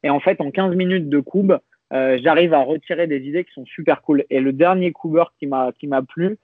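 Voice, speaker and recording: Guy talking slow and thoughtful, lots of restarts, dry narrow sound. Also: on the high side, dry recording. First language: French. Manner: reflective, hesitant with many restarts and fillers. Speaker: podcast guest. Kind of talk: casual conversational interview.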